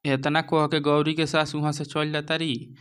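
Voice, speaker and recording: A man's voice: a little high, monotone, normal speed, fairly bright tone, clear, resonant tone. A man with a Bhojpuri accent narrating a scripted moral story.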